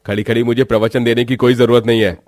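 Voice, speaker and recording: A man's voice: mid-range voice, flat delivery, fast, darkish tone, dry recording, natural voice. A man voicing an arrogant, dismissive rich father who talks scornfully and bossily in a cartoon story. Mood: angry. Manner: scornful, bossy.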